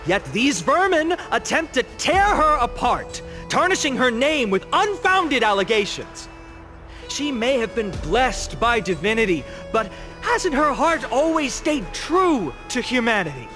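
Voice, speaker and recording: A young male guardian orator for a video game. His voice is high and swings widely as he delivers solemn, eloquent rhetoric with theatrical weight. Music plays softly underneath. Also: sing-song, measured pace, exaggerated voice. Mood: earnest pleading. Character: impassioned young male orator, guardian demigod of a holy city. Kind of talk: dramatic theatrical oration in video game voice acting.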